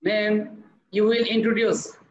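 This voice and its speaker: High-voiced Bangladeshi man, echoey noisy call. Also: little pitch movement, takes it slowly. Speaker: teacher moderating an online class discussion. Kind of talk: moderating and introducing speakers.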